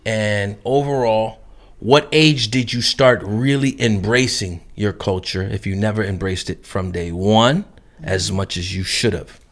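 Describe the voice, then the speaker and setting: Guy hosting a podcast, low voice, slangy, throws questions out to the group. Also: measured pace. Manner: informal, slangy, checks that others follow. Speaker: podcast host, moderator. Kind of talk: casual chat, posing questions to a group.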